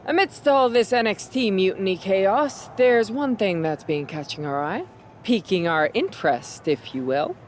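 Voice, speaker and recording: A woman's voice: medium pitch, lively intonation, measured pace, a little hiss or hum, natural voice. A woman playing a cocky married wrestler in a video game, cutting a sarcastic, taunting promo. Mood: sardonic.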